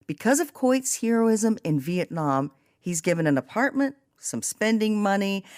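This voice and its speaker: A woman's voice: medium pitch, darkish tone, no echo, clean studio sound. A woman hosting a podcast, reading scripted narration and summaries. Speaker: podcast host.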